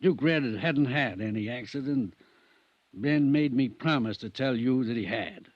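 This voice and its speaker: Reluctant middle-aged doctor played by a man, slow, halting delivery, vintage radio drama, dull dry sound. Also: mid-range voice, no echo, lightly acted. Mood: reluctant confession. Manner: hesitant, halting. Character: reluctant, troubled male country doctor, loyal old friend.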